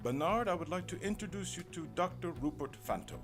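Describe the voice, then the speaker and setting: A man's voice: high-pitched, a little fast, music in the background, pitched above the performer's own voice, natural voice. A man playing a pompous, self-assured doctor in a comic audio drama, speaking formally and declaiming.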